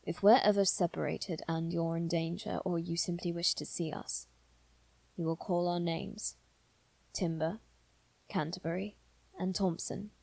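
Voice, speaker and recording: Woman as a low, creaky, gentle demon triplet. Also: fairly even intonation, no echo. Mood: gentle, explaining. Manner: soft, measured, deliberate, sometimes near whisper. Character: gentle, formal male demon triplet, devoted and possessive. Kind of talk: scripted ASMR roleplay acting.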